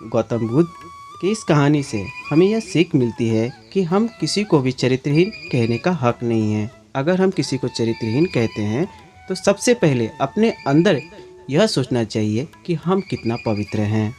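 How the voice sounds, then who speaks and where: A male storyteller delivers a scripted moral tale. His mid-pitched voice moves with animated rises and falls, and music plays behind him. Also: moderate pace. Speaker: narrator of moral stories. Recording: background music under the voice.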